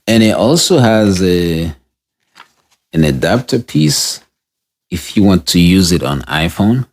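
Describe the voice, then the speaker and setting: A man with a deep voice giving a casual, unscripted product review, talking through the gear as he tests it and restarting sentences as he goes. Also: big pitch swings. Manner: unscripted, halting, restarts mid-sentence while testing gear.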